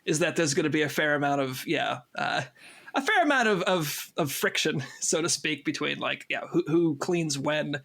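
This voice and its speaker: A man chatting casually as an interviewee, haltingly repeating words as he thinks aloud. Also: fairly high pitch, animated, gravelly, a bit bright. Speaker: cast member interviewee. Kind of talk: casual chat.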